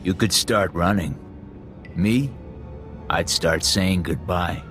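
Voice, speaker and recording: A hard, menacing interrogator from a sci-fi game. His voice is very deep and he takes his time, dropping terse threats over faint music. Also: slow pace, fairly bright tone. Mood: coldly mocking. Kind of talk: dramatic sci-fi game dialogue.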